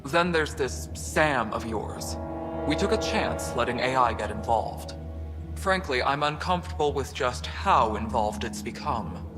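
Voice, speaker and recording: An actor playing a stern, skeptical colony official with a measured, pointed delivery in a sci-fi video game. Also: male voice, mid-range voice, lively intonation, lightly acted. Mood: disapproving. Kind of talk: dramatic video game cutscene acting. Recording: long hall reverb, faint music behind.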